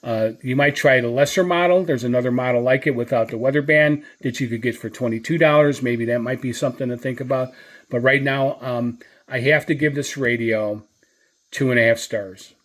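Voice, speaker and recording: A man's voice: mid-range voice, fairly quick. A man giving a casual, unscripted product review as a channel host, speaking hesitantly and often circling back over his points.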